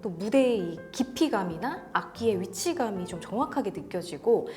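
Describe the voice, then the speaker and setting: A woman co-hosts a conversational audio review in a medium-pitched voice. Her intonation is lively as she agrees and asks questions, with faint music underneath. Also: moderate pace.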